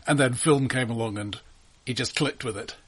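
A man co-hosting a casual film discussion, giving information in a halting, dryly humorous way. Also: medium pitch, husky. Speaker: film podcast co-host.

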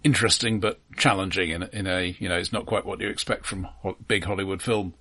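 A man's voice: low voice, steady tone, fast, bright, forceful tone, husky. A man co-hosting a film podcast in casual discussion, speaking hesitantly and repeating words as he thinks aloud.

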